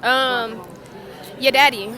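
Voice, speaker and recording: A teenage girl with a high, bright voice answers questions. She sounds hesitant and flippant, with some people chattering behind her. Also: fairly even intonation, slow pace. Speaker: interviewee. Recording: chattering public place. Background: crowd chatter.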